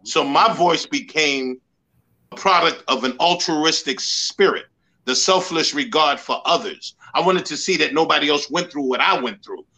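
A man's voice: on the high side, moderate pace, pushed and bright, rough recording. A man in his sixties from the Bronx, a motivational speaker telling his story earnestly in an interview. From New York, the Bronx. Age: 60s. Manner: earnest, emphatic storytelling with repeated slogans. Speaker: guest, motivational speaker and life coach. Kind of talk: interview conversation.

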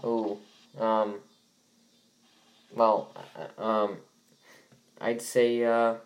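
A man's voice: fairly low pitch, level tone, drawn-out words. A young man hosting a casual sports podcast, sounding stuffy from allergies and rambling with many fillers and restarts. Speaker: podcast host.

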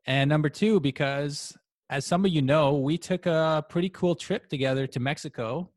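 A man's voice: on the high side, moderate pace, no echo, clean studio sound. A young man from Canada hosting a podcast, talking casually and interviewing a guest with friendly questions. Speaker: podcast host.

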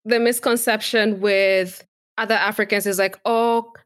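A woman's voice: medium pitch, steady tone, unhurried, dry recording. Brief: young Kenyan-born woman host, casual chat, dry close sound. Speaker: podcast host. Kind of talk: conversational podcast interview.

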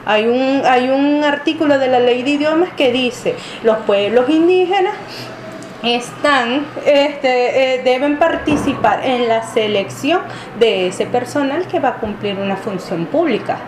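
A woman from Venezuela answers interview questions at length, pausing and restarting as she searches for words. Her voice sits a little high. The recording is roomy, with a clear noise bed behind her. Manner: hesitant, many fillers and restarts. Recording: echoey room with clear background noise.

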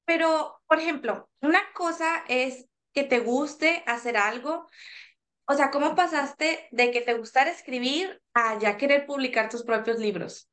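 A woman with a Mexican Spanish accent hosting a casual interview podcast, upbeat and quick with enthusiastic exclamations. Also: high-pitched, a bit bright. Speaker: podcast host.

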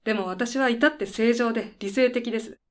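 A woman's voice: a little high, moderate pace, close to an everyday voice. A woman playing a composed, firm female company head with formal, businesslike speech in a radio drama. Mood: controlled, menacing. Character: composed female head of a deadline-management service, losing patience. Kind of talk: radio drama dialogue acting.

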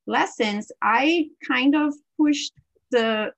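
A woman whose first language is Portuguese, co-hosting a podcast in casual chat, talking freely with many fillers and quick laughs. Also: high voice, creaky, no echo, lo-fi sound. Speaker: podcast co-host.

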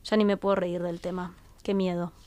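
An actress with a Rioplatense Spanish accent playing a worried, wry wife in an audio drama. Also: female voice, fairly low pitch, little pitch movement, moderate pace, darkish tone, lightly acted. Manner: anxious, wry. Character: worried wife, adult woman, anxious.

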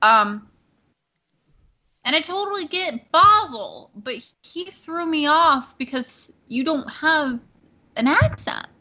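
A woman's voice: sits high, animated, takes it slowly, pushed and bright, highs cut off. A woman co-hosting a livestream podcast, bantering casually and teasing her co-host.

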